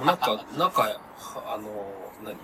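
A man's voice: lowish voice, lively intonation, a little slow, noisy background. A man co-hosting a podcast in casual chat, inquisitive and often reacting with questions. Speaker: podcast co-host. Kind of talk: casual chat among friends.

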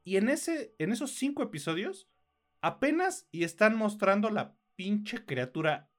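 A man's voice: high voice, big pitch swings, a little slow. A Mexican man co-hosting a podcast, reviewing films and series in a blunt, casual, slangy way.

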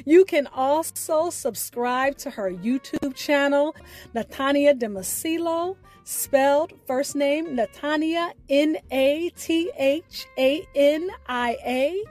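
A woman hosting a podcast, reading a scripted sign-off in a deliberate, carefully enunciated way. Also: high voice, a little mellow, soft background music. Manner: deliberate, spells out names and details. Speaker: podcast host.

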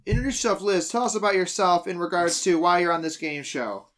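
Rambling excitable podcast host, a man. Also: sits high. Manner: excited, rambling, quick self-corrections. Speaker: podcast host running a game show. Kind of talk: casual chat.

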